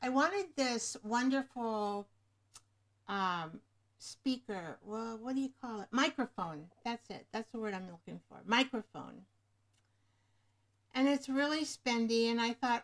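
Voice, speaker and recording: A woman hosting a casual craft livestream, rambling and pausing to search for words, speaking into a webcam microphone. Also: on the high side, fairly bright tone. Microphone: webcam microphone above the screen.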